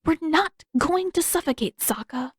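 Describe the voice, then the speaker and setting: A woman's voice: sits high, animated, unhurried, no room sound, voice pushed up. A woman voicing a firm, reassuring teenage girl in a fan fiction audiobook reading.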